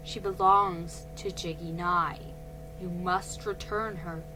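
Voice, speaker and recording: A woman voicing a calm, firm father who speaks in a measured way, in a children's storybook reading. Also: fairly low pitch, rough recording. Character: calm, firm father, middle-aged man. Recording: faint music behind.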